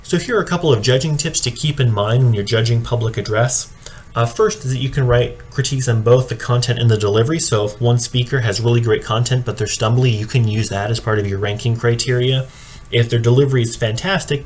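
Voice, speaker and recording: A man's voice: mid-range voice, darkish tone. Man explaining guidelines, noisy recording. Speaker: speech and debate coach training judges. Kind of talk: instructional explainer presentation. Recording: clearly audible background noise.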